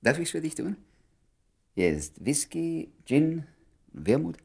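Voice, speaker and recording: An actor playing an inquisitive, easily flustered doctor in a German radio play, speaking with insistence and hesitation while feigning indifference. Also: male voice, a little high, big pitch swings, slow pace, vocal fry, a little mellow, breathy edge, exaggerated voice. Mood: awkward, feigned indifference.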